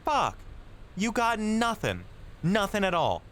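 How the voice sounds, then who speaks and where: A man's voice: high-pitched, huge pitch swings, slow, fairly bright tone, exaggerated voice. A man playing a pushy, taunting, slangy street punk in an audio roleplay. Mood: frustrated. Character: cocky, bullying male street punk mugger.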